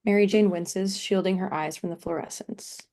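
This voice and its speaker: A woman's voice: mid-range voice, steady tone, moderate pace, dark tone. A woman reading stage directions as scripted narration at a play table read.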